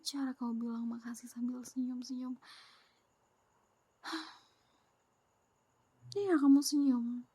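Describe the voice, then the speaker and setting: A whispering, possessive young girlfriend, as a woman acts her out in an ASMR roleplay, sweet and needy right up against the mic. Her breathy, husky voice sits high and soft, and she lingers slowly over every word. The sound is dry and close. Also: no echo, heavily acted. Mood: tender whispering.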